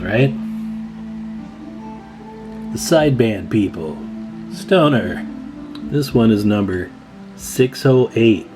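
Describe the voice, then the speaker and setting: A man hosting a radio repair video, explaining hands-on work in a casual, folksy way and chatting informally to viewers. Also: on the low side.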